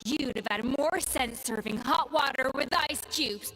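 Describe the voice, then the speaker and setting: Game casting: scathing perfectionist chef, high, bright and forceful, light music behind. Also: female voice, a little slow, rough recording. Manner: cutting, scolding. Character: stern, exacting female chef, scathing and haughty. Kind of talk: game dialogue acting.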